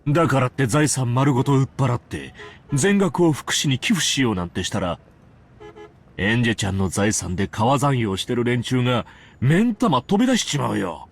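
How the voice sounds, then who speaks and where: A man's voice: mid-range voice, very animated, fast, creaky, husky, exaggerated voice. An actor playing a glib, shrewd businessman in a visual novel, casual and unflappable as he talks. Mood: wry, casual. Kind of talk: Japanese visual novel voice acting.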